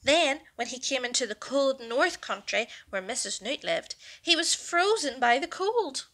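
Unhurried woman storyteller, higher-pitched voice. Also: big pitch swings, dry recording. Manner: deliberate, dramatic delivery with hushed passages. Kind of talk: expressive children's story narration.

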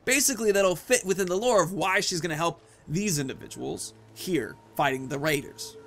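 Higher-voiced man narrating his gameplay. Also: moderate pace, a bit bright, slight background noise. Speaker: gaming video host. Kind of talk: casual gameplay commentary.

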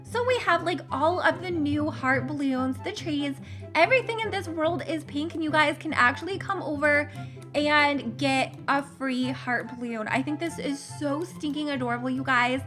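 A young woman chatters bubbly and gushing over a game, her high voice a little worn because she is losing it. Gasps and giggles slip between her lines. Upbeat background music plays clearly beneath her. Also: normal speed, a bit bright. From Canada. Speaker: gaming channel host. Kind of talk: casual game commentary.

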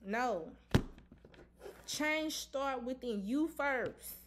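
A woman's voice: high-pitched, animated, extremely slow, fairly bright tone, a little hiss or hum. Blunt, emphatic woman reading tarot. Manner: blunt, repetitive, emphatic, swears casually. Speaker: tarot reader. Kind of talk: casual tarot reading to camera. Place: indoor room at a table.

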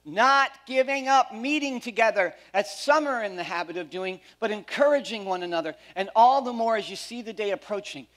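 A middle-aged man pastor preaching a sermon on a church stage, animated, swinging between loud emphasis and quiet asides. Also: high-pitched.

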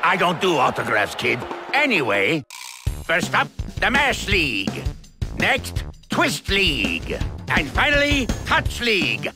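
A man's voice: sits high, wildly swooping pitch, slow, over music, heavily acted. A man voicing a greedy, boastful cartoon villain in a video game, with an exaggerated, bossy, gloating delivery. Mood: dismissive. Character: greedy, boastful, cackling middle-aged male game villain. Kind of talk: animated video game character acting.

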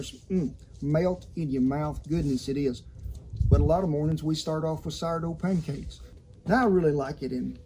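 Mellow, folksy Southern American cowboy cook. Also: male voice, fairly high pitch, slight background noise. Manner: folksy cowboy storytelling with colorful sayings. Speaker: cooking show host. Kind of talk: folksy cooking tutorial to camera. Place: outdoor patio kitchen in a yard. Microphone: lavalier clipped to shirt.

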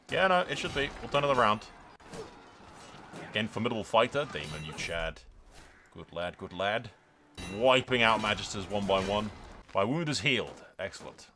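A man's voice: lowish voice, pushed and bright. A man giving casual, sweary let's play commentary, telling the story in mock-grand announcer phrases and repeating himself for emphasis. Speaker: gaming video creator.

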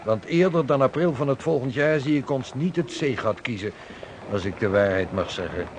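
An actor playing a hearty, hospitable seasoned sea captain in a Dutch radio drama, speaking jovially in formal old-fashioned phrases. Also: male voice, mid-range voice, expressive, normal speed, natural voice. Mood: genial. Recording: radio play with background ambience noise.

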